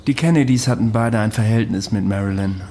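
A man's voice: on the low side, a little fast, dark tone, a little hiss or hum, lightly acted. Man playing a matter-of-fact, self-assured ex-detective. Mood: matter-of-fact, brooding. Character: unrepentant male storyteller, ex-private detective, self-assured killer. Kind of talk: radio drama acting.

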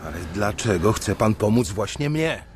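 A man's voice: lowish voice, expressive, normal speed, slight background noise, close to an everyday voice. An actor playing a defiant young man who also narrates his own story in a radio drama. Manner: earnest, reflective narration. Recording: radio play with music beneath.

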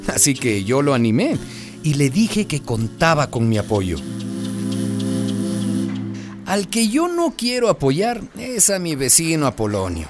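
A man's voice: fairly high pitch, huge pitch swings, a little slow, long pauses, over music. A middle-aged man acting a folksy, wry neighbourhood father who narrates a radio drama. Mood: fond, confiding.

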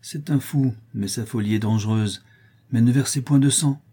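Cold, commanding noblewoman, cruel; male reader raising his voice for her, mellow husky tone. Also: medium pitch, moderate pace, pitched above the performer's own voice, natural voice.

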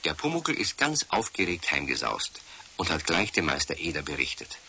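A man narrating a scripted children's story, animated and addressing his young listeners directly. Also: extremely low pitch, moderate pace. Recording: slightly hissy old radio recording.

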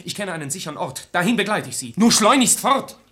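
An actor playing a loyal, earnest young suitor, speaking urgently in a verse radio play. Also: male voice, high-pitched, big pitch swings, fast, sharp tone, heavily acted. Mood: urgent, anxious.

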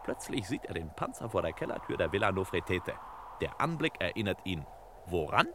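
A know-it-all master detective from a vintage radio crime spoof. The voice is fairly low and self-assured, with clipped, pompous precision. Clear noise sits on the old recording. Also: male voice, big pitch swings, a little fast, fairly bright tone. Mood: smug, deducing. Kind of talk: 1960s German crime radio play parody.

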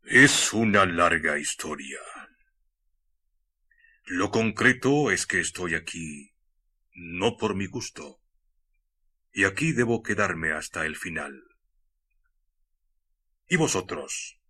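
An actor playing a mysterious, courteous Spanish hermit in a radio drama, with an accent from Spain, speaking husky, archaic, formal lines. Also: male voice, sits low, expressive, takes it slowly, long pauses, pushed and bright, heavily acted. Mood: solemn, enigmatic. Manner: archaic, formal, solemn speech.

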